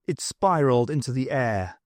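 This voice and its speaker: A man's voice: mid-range voice, animated, normal speed, no echo, clean studio sound. A man reading scripted story narration as the narrator of an audiobook.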